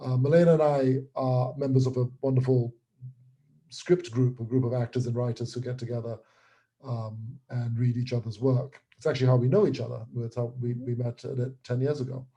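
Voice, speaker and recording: A man's voice: medium pitch, steady tone, brisk, dark tone. A man talking casually as an interview guest and creator, explaining things with repeated, restarted words.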